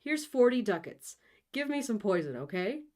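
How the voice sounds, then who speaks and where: A woman's voice: fairly high pitch, sing-song, normal speed, darkish tone, voice pushed up. A woman voicing a dramatic, pushy young lovestruck hero in a comic storybook reading, with slangy, bossy delivery. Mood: impatient, pushy. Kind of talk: comic storybook read-aloud.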